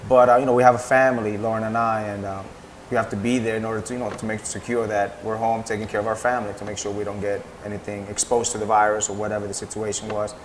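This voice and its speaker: Dominican-born man, low flat voice. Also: fast, a little hiss or hum. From Dominican Republic. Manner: loose and conversational, many fillers. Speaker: restaurant owner. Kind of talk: casual interview.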